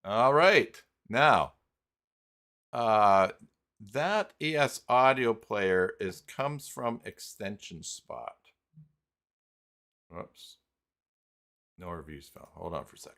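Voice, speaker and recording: Deep-voiced man hosting a slow tutorial. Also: very animated, fairly bright tone. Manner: halting, thinking aloud while working. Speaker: host of live tutorial stream. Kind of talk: unscripted live software tutorial.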